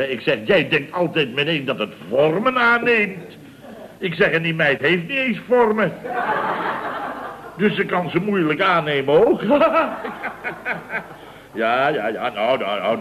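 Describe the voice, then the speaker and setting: A man acting a self-important Dutch building contractor in an old radio comedy. He blusters and boasts, repeats himself as he stammers along, and chuckles at his own mock indignation. His voice sits at a middling pitch. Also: fairly bright tone, lightly acted, low-quality recording. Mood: blustering.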